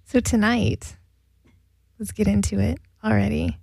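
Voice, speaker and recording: A woman's voice: mid-range voice, fairly even intonation, unhurried, creaky, darkish tone, breathy edge, no echo. A woman co-hosting a casual radio chat show, reading listener emails and laughing often.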